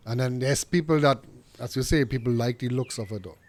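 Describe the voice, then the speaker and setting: A man's voice: medium pitch, fairly quick, darkish tone. Earnest man hosting a dog podcast. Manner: earnest, opinionated, many restarts. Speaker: podcast host, dog trainer. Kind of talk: casual interview chat.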